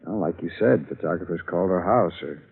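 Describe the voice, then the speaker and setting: A jittery photographer with a past stammers and defends himself. His voice sits a bit low. It all comes through a thin, phone-like old radio broadcast. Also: a little fast, gravelly, slightly rough, full character voice. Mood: nervous explaining. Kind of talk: 1950s radio drama acting.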